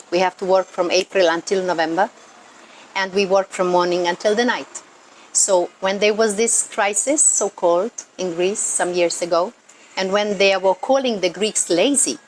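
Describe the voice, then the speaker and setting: Lower-voiced Croatian woman over background noise. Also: rough recording. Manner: earnest, emphatic, repeats words for stress. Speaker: local tourism worker. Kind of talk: unscripted explanatory talk to camera. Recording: noticeable ambient background noise.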